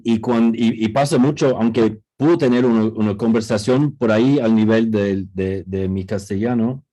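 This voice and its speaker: Man, mellow voice, halting restart-heavy delivery, video call. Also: on the low side, rough recording. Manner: hesitant, many restarts and fillers. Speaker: co-author speaking at a book presentation. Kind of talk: casual panel talk.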